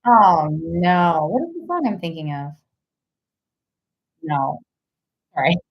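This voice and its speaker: A woman's voice: on the low side, very animated, slow pace, husky, highs cut off. A woman doing a live tarot reading in casual chat, rambling with many fillers and giggles. Her nose is stuffy. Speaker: tarot reader.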